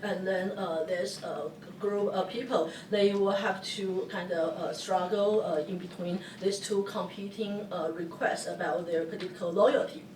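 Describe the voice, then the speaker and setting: A woman's voice: medium pitch, fairly even intonation, a little slow, few pauses, darkish tone, a little hiss or hum. A woman from Taiwan with a Taiwanese accent, a native Hokkien speaker, presenting at an academic panel with many fillers and restarts. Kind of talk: academic conference talk. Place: lecture hall. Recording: echoey room, distant mic, faint ambience.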